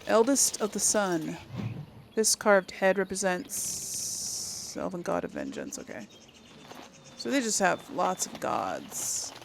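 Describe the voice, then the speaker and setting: A woman's voice: medium pitch, sing-song, takes it slowly, vocal fry, pauses often, a little hiss or hum. A woman hosting a casual let's play, chattily thinking aloud to her viewers. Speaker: gamer, series host.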